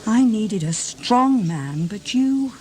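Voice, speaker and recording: An actress playing a needy, manipulative, volatile wife in a radio drama, theatrical, shifting from wheedling to barbed. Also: female voice, fairly high pitch, expressive, slow pace, warm tone, slight background noise. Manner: theatrical, wheedling, turning from breathy coaxing to barbed.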